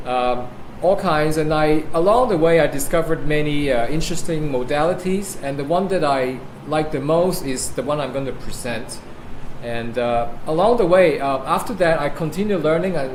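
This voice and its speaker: Echoey man. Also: fairly high pitch. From Hong Kong. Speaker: guest speaker being interviewed. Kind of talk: informal interview answers and personal account. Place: meeting room with an audience. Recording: echoey room with clear background noise.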